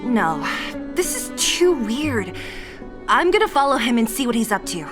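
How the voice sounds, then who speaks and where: A feisty young female fighter from a video game, with a fairly high, expressive voice. She talks bluntly and impatiently but takes her time over the words. Guitar music is clearly audible behind her. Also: measured pace. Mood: frustrated. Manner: blunt, brash, emphatic. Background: plucked guitar music.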